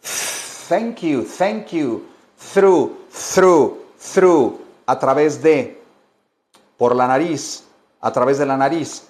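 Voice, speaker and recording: A man teaching English, switching between languages and repeating each phrase slowly for learners. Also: on the high side, animated, a little mellow. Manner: slow, deliberate drilling with many repetitions. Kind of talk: bilingual language lesson.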